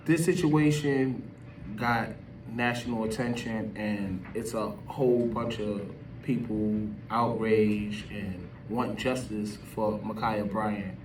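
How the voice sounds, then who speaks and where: A man's voice: fairly low pitch, steady tone, takes it slowly, reverberant. A man giving casual opinion commentary as a video host, talking in an emphatic, slangy, conversational way. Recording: distant mic with background noise.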